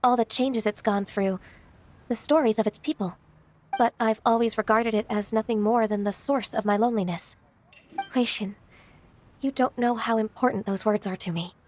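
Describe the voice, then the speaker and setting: A woman playing a gentle, dutiful, ageless secretary, polite and formal, in an anime video game. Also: on the high side, steady tone, moderate pace, a little hiss or hum, close to an everyday voice. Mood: moved, wistful.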